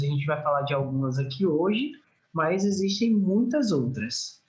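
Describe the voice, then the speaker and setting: A young man speaks in a fairly high voice with a Northeastern Brazilian accent. He gives an informal, educational talk, explaining health matters to a church group as an invited speaker. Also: rough recording. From Alagoas, northeastern Brazil. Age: young adult. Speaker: guest health speaker, medical student.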